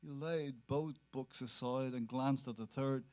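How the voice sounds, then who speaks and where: A man's voice: mid-range voice, measured pace, darkish tone. A man giving scripted literary narration in a dramatic reading.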